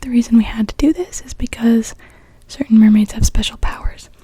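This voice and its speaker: A young woman playing a gentle, welcoming witch in a soft, soothing whispered ASMR roleplay. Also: on the high side, fairly even intonation, fairly quick, husky, dry recording. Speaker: ASMR performer.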